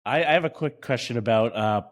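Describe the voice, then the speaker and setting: A man's voice: medium pitch, a little fast. A man co-hosting a podcast in casual conversation. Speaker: podcast co-host.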